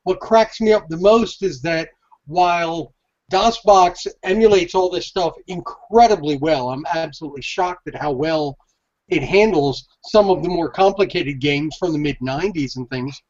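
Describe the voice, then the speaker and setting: A man's voice: a little high, normal speed, a little mellow. A man chatting casually on a panel video call, effusive and given to folksy exclamations. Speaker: collector panelist. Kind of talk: casual chat. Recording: dull lo-fi video-call audio.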